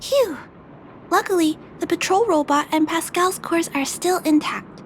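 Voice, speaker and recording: A woman voicing a kind, earnest young girl in a video game, polite, soft-hearted and resolute. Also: very high voice, unhurried, a little hiss or hum. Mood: relieved, curious. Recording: music behind the voice.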